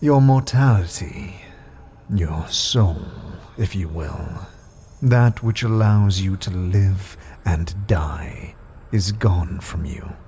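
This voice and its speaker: An actor playing an imperious, menacing and cunning sorcerer in a fantasy audio drama, with haughty theatrical delivery. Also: male voice, low voice, takes it slowly, dark tone, a little hiss or hum. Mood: knowing, ominous. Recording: music behind the voice.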